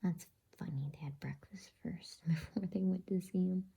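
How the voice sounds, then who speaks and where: A woman reading a bedtime story in ASMR style, with a hushed, breathy, husky whisper and an unhurried manner. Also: on the low side.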